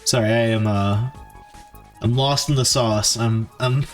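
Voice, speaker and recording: A man with a mid-pitched voice streams himself developing a game, with music behind him. He talks casually to viewers and thinks out loud in stops and starts. His manner is informal and self-deprecating. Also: fairly even intonation, measured pace. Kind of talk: casual live-stream chat while coding.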